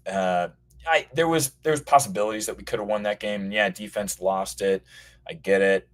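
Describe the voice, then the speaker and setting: A hoarse man calling in to a show, chatting casually and rambling with lots of restarts and fillers. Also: on the low side, normal speed. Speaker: caller. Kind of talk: casual call-in chat.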